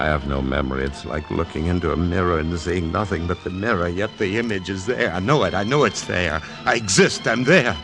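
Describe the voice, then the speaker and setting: An actor playing a troubled amnesiac who believes himself a murderer, speaking haltingly in an old radio drama style. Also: male voice, lowish voice, natural voice. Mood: dazed, haunted, then rising anguish. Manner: halting, haunted, swelling into anguish. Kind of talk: 1940s radio drama acting.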